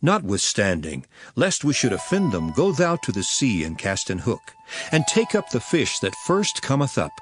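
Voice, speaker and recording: An actor playing an earnest, authoritative teacher with solemn, declamatory delivery in a dramatized Bible reading. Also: male voice, medium pitch, wildly swooping pitch, normal speed. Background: music.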